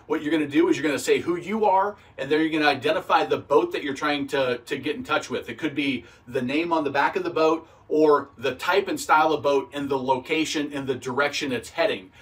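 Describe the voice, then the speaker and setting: A man's voice: fairly high pitch, distant mic. A man giving a plain-spoken, practical, step-by-step instructional talk in a conversational style. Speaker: boating instructor and video host.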